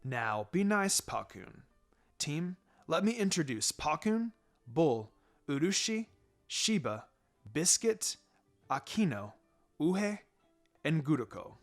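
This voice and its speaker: A man voicing a calm, dry adult ninja teacher in a story reading, with a low-key, gently chiding manner. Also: slow, long pauses, soft background music, full character voice. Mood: calm, proud.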